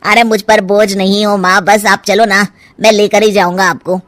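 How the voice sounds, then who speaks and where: A woman's voice: mid-range voice, flat delivery, normal speed, fairly bright tone, dry recording, natural voice. A woman voicing a self-centred, jealous grown son in a cartoon story, brusque and insistent. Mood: bossy, insistent. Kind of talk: cartoon family story dialogue.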